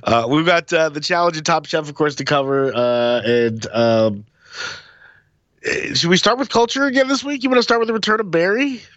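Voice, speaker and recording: A man with a slight cold hosting a podcast, talking casually with a lively, bouncing pitch. His voice sits mid-range and is recorded dry and close, with no room sound. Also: normal speed, no echo, dull audio. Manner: relaxed, conversational, lively intonation. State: has a cold, rough voice. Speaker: podcast co-host. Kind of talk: casual chat.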